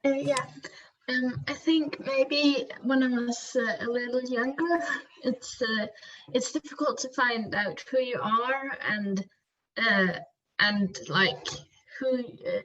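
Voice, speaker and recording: A teenage girl speaking in a halting way, full of fillers, on a casual video call. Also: fairly high pitch, a bit bright, highs cut off. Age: teens.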